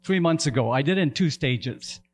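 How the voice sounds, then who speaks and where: An older man from the Lower Ottawa Valley, a retired teacher and principal, giving a relaxed, chatty history lecture in a loud voice in a lecture room. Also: fairly quick, breathy edge. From Lower Ottawa Valley, Canada.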